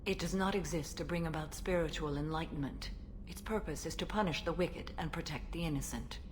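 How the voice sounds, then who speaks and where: An actress as an ancient alien warrior woman in a video game, serene yet unyielding. Her voice is on the low side, formal and measured, with faint noise behind it. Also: natural voice. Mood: solemn, resolute. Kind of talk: video game dialogue acting.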